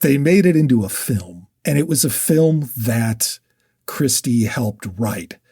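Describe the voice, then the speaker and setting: A man's voice: medium pitch, lively intonation, slow, mellow, husky, no room sound, clean studio sound. A man co-hosting a podcast, casually discussing books and sharing researched facts, often restarting and correcting himself mid-sentence. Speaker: podcast co-host.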